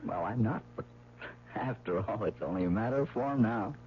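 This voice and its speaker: An actor playing an earnest, brooding young American man who looks back bitterly, in halting 1970s radio drama style. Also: fairly low pitch, lively intonation. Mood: easy, teasing. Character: earnest young lover turned embittered veteran, recounting his past. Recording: muffled, noisy old radio broadcast.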